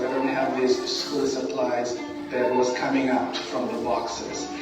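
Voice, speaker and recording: A man from Fiji telling his own story in a slow, reflective way, now doing children's ministry work. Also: medium pitch. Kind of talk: personal testimony in a video. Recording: video played over hall speakers, echoey, from far off, with music.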